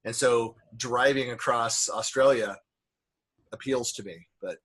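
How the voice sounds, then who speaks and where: A man's voice: medium pitch, a little slow, fairly bright tone, husky. A man hosting a casual interview over a video call, chatty and rambling, with frequent restarts. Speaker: host.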